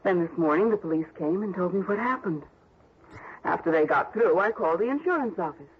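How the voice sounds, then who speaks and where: A woman's voice: on the low side, lively intonation, fairly quick, a little hiss or hum, natural voice. A woman playing a brash, mercenary widow. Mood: blunt. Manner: breezy, blunt, slangy. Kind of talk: 1960s radio drama acting. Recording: dull old radio broadcast.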